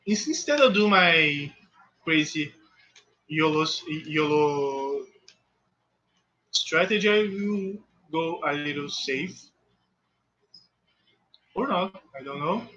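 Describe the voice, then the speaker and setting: Portuguese-accented guy narrating his speedrun, slow and halting, bright sharp tone. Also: a little high, muffled recording. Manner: halting, restarts phrases, self-mocking. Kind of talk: live gameplay commentary.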